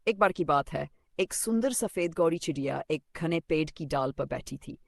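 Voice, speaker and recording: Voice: mid-range voice, lively intonation, moderate pace, vocal fry, no echo. A narrator reading a scripted children's story.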